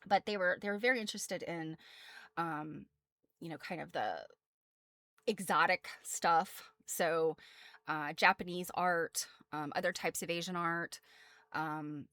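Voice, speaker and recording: A woman's voice: fairly low pitch, fairly even intonation, unhurried, sharp tone. An American woman presenting quilt history casually as a host, chatty and self-deprecating, wandering off into asides.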